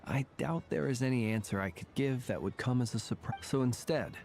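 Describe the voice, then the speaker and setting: Man playing refined, courteous game gentleman. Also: medium pitch, gravelly, lightly acted. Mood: obliging. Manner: formal, polite, measured phrasing. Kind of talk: animated game dialogue. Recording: music playing behind.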